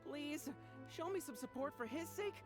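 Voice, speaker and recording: An actor playing an earnest, theatrical young festival hype-man in animated video game dialogue. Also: very high voice, moderate pace. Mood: dejected, pleading. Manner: theatrical, over-enthusiastic. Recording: game audio with music.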